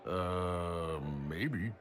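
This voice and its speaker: A deep, slow-talking mercenary chief. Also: male voice, crowd noise. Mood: laid-back, bored. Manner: laconic, casual, drawn-out replies. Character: big, laid-back, gruff mercenary chief. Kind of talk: video game dialogue acting.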